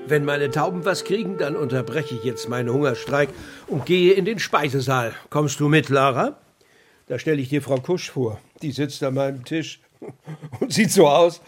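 A male actor plays an old pigeon keeper with a husky, fairly high voice. He is avuncular, wry and a bit stubborn, acting in a children's radio play with soft music behind. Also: moderate pace, close to an everyday voice. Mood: wry, friendly.

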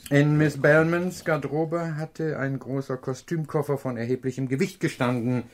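An actor playing a pompous, self-assured professor detective in a radio crime play, lecturing pedantically with theatrical flourish. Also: male voice, mid-range voice, natural voice.

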